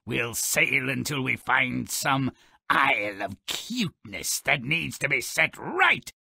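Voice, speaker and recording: A man voicing a sardonic, mischievous, grotesque little fairy-tale creature who narrates a video game with raspy, theatrical, gleefully macabre sarcasm. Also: medium pitch, sing-song, slow, bright, forceful tone, no room sound, clean studio sound, exaggerated voice. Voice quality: raspy, gravelly. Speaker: character narrator.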